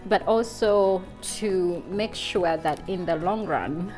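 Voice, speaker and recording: A woman's voice: on the low side, takes it slowly, darkish tone, soft background music. A woman from Uganda with a Ugandan accent, chatting and explaining casually as a guest cook. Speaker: charity staff member, guest cook. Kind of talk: casual cooking chat and explanation.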